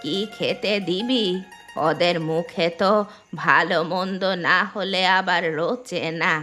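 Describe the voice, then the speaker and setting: A woman's voice: fairly low pitch, slow, pushed and bright, faint music behind. A woman voicing a shrewd, plain-spoken older village aunt in a cartoon fairy tale, with a folksy, scolding manner. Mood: chatty, grumbling.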